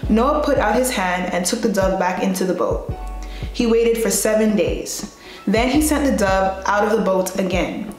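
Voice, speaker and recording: A young adult woman hosting a Bible storytime, reading and telling stories to camera in a playful, expressive, colloquial way. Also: mid-range voice, normal speed. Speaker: host of a Bible storytime show. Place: small bright indoor room at a desk. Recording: very echoey room, music behind.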